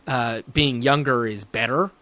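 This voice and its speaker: A man's voice: medium pitch, a little slow, pushed and bright. A man speaking as a neurologist guest in an interview, thinking aloud as he explains clinical points conversationally.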